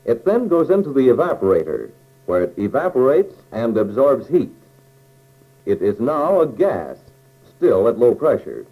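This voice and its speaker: An American man narrates an instructional film in a mellow, relaxed voice at a middle pitch. His intonation moves up and down in a lively way as he explains things step by step in plain, measured phrases. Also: rough recording.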